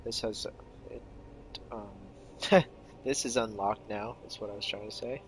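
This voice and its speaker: A man's voice: fairly low pitch, fairly even intonation, a little slow, slight background noise, low-quality recording. A man giving casual live commentary as he plays a video game.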